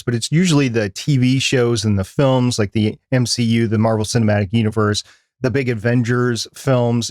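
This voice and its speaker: A man's voice: medium pitch, a little mellow, no room sound, studio quality. A man co-hosting a podcast, explaining things in an informal, conversational way. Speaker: podcast co-host.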